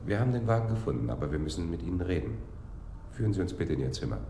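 An actor playing a laconic, dry-humoured police detective with a terse, businesslike delivery in a radio play. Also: male voice, low voice, big pitch swings, very fast, creaky, mellow, a little hiss or hum, exaggerated voice. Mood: official, blunt.

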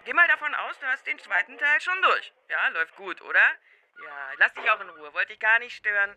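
A woman's voice: mid-range voice, lively intonation, fairly quick, pushed and bright. A woman playing a doting, teasing caller who needles her darling in a cutesy, mock-considerate manner. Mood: teasing, cooing.

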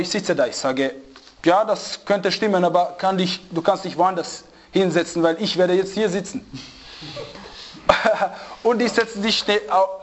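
A man preaching to a small group in an informal sermon, telling an anecdote and mixing in Arabic phrases. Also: sits high. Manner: storytelling, lively, mixes in Arabic phrases. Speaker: preacher giving a religious lesson. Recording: dull lo-fi recording.

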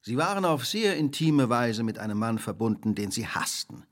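Male actor as shrewd detective, theatrical, highly varied melody, precise diction, formal, relentless, radio drama. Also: big pitch swings, normal speed, close to an everyday voice. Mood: accusing, assured.

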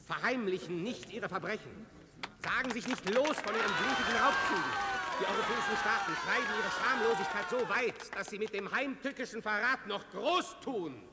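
A man acting a fervent revolutionary orator in a radio play, his voice pitched extremely high. He declaims solemnly into a long hall reverb, with a crowd clearly audible. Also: moderate pace, noisy background, close to an everyday voice. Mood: fervent, declamatory. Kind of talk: political oratory in a radio drama.

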